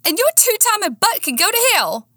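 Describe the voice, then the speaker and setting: A woman voicing a hot-tempered, heartbroken Texan woman with a twang that turns squeaky when she is worked up. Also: very animated, a little slow, fairly bright tone, dry recording, studio quality, heavily acted. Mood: furious. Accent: Texas.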